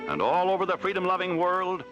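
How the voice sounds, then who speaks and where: A man with an American accent narrates a 1940s newsreel from an old mono film soundtrack with loud music underneath. His voice is pitched high and swings widely as he declaims each scripted line with drama. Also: expressive, a little fast, dull audio.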